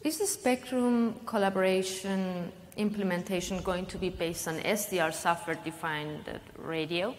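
A young woman interviewing on a conference stage, reading prepared questions in a slightly halting way. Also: on the low side, fairly even intonation, slight background noise. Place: large conference hall.

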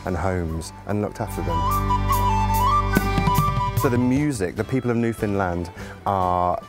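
A man in his forties, a musical director, calmly explaining the instruments of a show on a theatre stage. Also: deep voice. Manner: calm, explanatory, unhurried. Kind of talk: guided tour to camera. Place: theatre stage set, indoors. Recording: music playing underneath.

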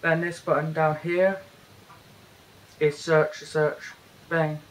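Flat-voiced British man, hesitant tech walkthrough. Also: a little high, takes it slowly, rough recording. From Britain. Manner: hesitant, many fillers and restarts. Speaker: tech reviewer. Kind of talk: casual hands-on product walkthrough. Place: indoors, bedroom.

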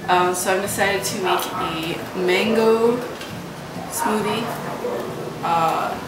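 A woman's voice: medium pitch, slow pace, very noisy. A young woman making a casual cooking vlog in her home kitchen, talking hesitantly and trailing off, recorded from across the room. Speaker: vlogger. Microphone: camera mic from across the room. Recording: roomy kitchen audio, distant mic.

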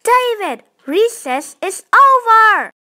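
A woman's voice: extremely high pitch, very animated, slow pace, sharp tone, soft background music, pitched above the performer's own voice, heavily acted. A woman playing an exasperated, strict storybook schoolteacher who gives scolding commands.

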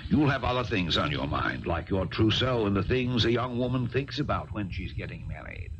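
A man's voice: fairly low pitch, expressive, moderate pace, lightly acted. A man acting in a 1970s radio drama, playing an imposing stepfather with a theatrical, paternal manner. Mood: indulgent, smooth. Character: imposing stepfather, outwardly indulgent, English country doctor. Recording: dull noisy old radio recording.

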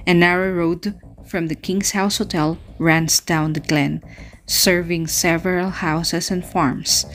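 A woman with a very low voice reads a narration in an even tone, with small slips here and there. Faint music sits under her. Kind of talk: read-out narration.

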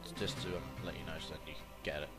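Deep voiced young dude, kinda tired, chatting through gameplay, loud game music and effects behind him. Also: male voice, level tone, racing. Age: young adult. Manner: rambling, informal. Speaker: gameplay commentator. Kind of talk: casual let's play commentary. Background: video game music and sound effects.

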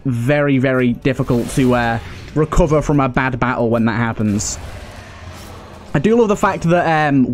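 A man's voice: fairly high pitch, a little mellow, a little hiss or hum. A man giving casual let's play commentary, thinking aloud and repeating phrases for emphasis. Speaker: gaming video host.

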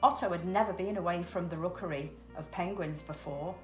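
Female storybook reader, middle-aged, slightly low voice, expressive narration, roomy home recording. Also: a little fast, soft background music. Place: small room at home, indoors. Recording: echoey domestic room.

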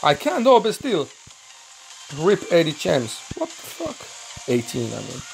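A young man from Finland, speaking English with a Finnish accent as his second language, commenting excitedly and swearing while recording a mobile game. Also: a little high, a little slow, creaky, soft background music, rough recording. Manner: swearing, excitable, thinking aloud, broken English. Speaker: gaming channel host.

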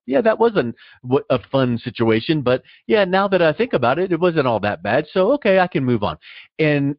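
A man's voice: medium pitch, no echo. A man hosting an interview in a conversational, reflective, rambling way. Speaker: interview host. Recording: dry, dull lo-fi sound.

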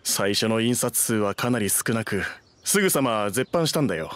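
A man's voice: lowish voice, lively intonation, moderate pace, a little hiss or hum, natural voice. An actor playing a proud, fretful, down-on-his-luck bookish man with weary, old-fashioned speech in an anime game. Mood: wistful. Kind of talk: Japanese anime game dubbing.

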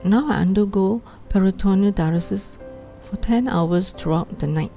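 Woman, seventies, very warm mellow tone, plain direct talk. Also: medium pitch, moderate pace, soft background music. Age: 70s. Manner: simple, direct statements. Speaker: patient. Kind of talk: interview testimony. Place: home.

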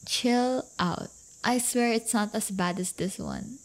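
A woman playing a playful, crafty but affectionate teenage delinquent girlfriend in an intimate roleplay audio, teasing and coaxing. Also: on the high side. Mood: playful mocking. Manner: teasing, coaxing, drawn-out delivery.

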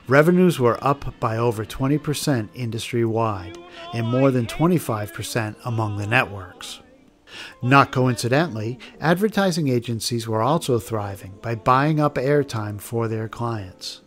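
Man narrating over music. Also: medium pitch. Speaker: narrator of a radio history show. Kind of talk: scripted documentary narration.

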